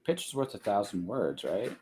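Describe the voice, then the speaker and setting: A man's voice: on the low side, very animated, moderate pace, crowd noise. A man hosting a podcast in casual chat, rambling as he repeats and rephrases himself. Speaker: podcast host.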